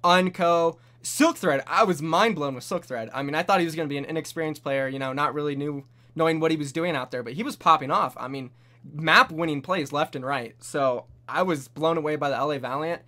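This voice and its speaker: A young man hosting a casual esports prediction chat, animated and full of bold, hype-driven takes. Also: fairly high pitch, brisk. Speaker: host.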